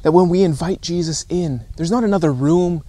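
A man in his thirties preaches informally to camera in a voice a little higher than average. He is earnest and deliberate, pausing often and repeating phrases for emphasis. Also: mellow, no echo. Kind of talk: informal recorded sermon to camera. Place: outdoors on a rocky shore by calm water.

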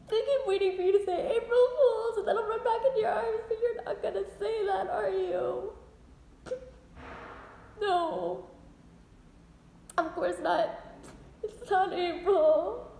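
Wounded, defiantly joking wife played by a woman: high voice, emphatic stage-monologue delivery, roomy echo. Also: vocal fry, a little mellow, a little hiss or hum, full character voice. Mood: heartbroken, tearful. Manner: emphatic, theatrical, addressing her husband directly. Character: desperate, wounded wife fighting her divorce, defiantly joking. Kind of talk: dramatic theatrical monologue. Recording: echoey untreated room.

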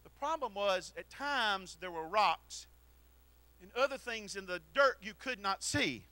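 Pastor guy, high pitched and piercing, preaching hard then going soft, pacing in a church. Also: expressive, measured pace, dry recording. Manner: swings from shouted exhortation to quiet storytelling; preaches while pacing the platform. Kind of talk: sermon. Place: church sanctuary. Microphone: handheld mic.

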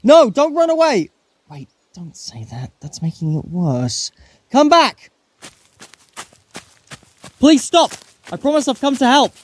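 A man's voice: very high-pitched, huge pitch swings, slow, pauses often, heavily acted. A man playing an eccentric, persistent time traveller in a fan audio drama, flippant yet earnest and reassuring. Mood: pleading, alarmed.

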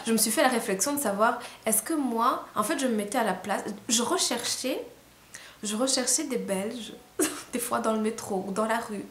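A young woman from Rwanda, talking to camera at night, tired and hesitant, with many fillers and restarts. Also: mid-range voice. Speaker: vlogger. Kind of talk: casual reflective monologue to camera. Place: small room indoors. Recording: roomy echo, faint hiss.

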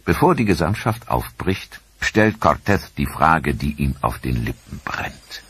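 A man narrating a documentary in a dramatic, expressive scripted manner with rhetorical questions. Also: very deep voice, unhurried, slight background noise.

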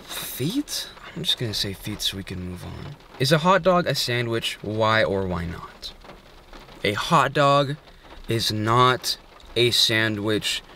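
A young man answering quirky questions in a casual, joking way, filming himself with a phone in a parked car. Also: a little high. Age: young adult. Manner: playful, joking, quick asides. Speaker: vlogger. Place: inside a parked car at night. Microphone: phone held at arm's length.

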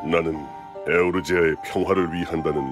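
Male actor as a fallen legion commander, middle-aged: very low, solemn, formal delivery; game cutscene with music underneath. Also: flat delivery, lightly acted. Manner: grave, formal, measured. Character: stern former imperial legion commander, solemn wandering man. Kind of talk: scripted game cutscene acting.

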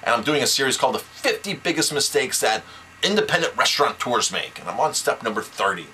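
Bright-voiced enthusiastic restaurant owner. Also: male voice, medium pitch, very animated, fast, slight background noise. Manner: enthusiastic, repeats words, self-promoting. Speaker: chef and restaurant owner giving business tips. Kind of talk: casual direct-to-camera advice.

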